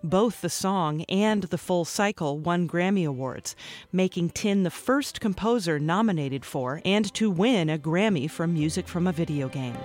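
A woman hosting a radio program, reading scripted narration in a measured, clear way. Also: lowish voice, lively intonation, a little mellow. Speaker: radio show host. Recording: music bed underneath.

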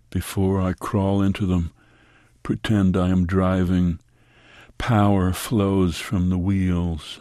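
Deep-voiced man reading on radio. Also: darkish tone, dry recording, studio quality. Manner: slow measured reading with falling line ends. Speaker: radio host.

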